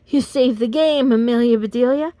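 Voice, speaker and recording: A woman's voice: fairly high pitch, normal speed, warm tone, dry recording, voice pushed up, close to an everyday voice. A woman voicing a young boy who leads his baseball team in a children's storybook reading, firm and instructing. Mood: excited.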